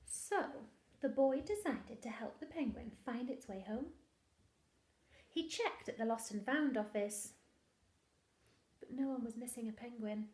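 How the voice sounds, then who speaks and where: Slow-reading woman telling a children's story. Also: on the high side, echoey room. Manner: expressive, deliberate, with hushed asides to listeners. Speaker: primary school teacher reading a picture book. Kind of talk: storybook read-aloud to young children.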